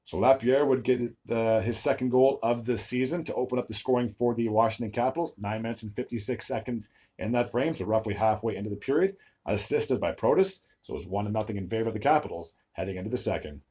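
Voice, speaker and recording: Young man, hockey recap host, slightly raspy, lowish voice, trips over names, lots of "uh". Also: fast, darkish tone. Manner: stumbles over player names, frequent "uh" fillers. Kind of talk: solo sports recap video.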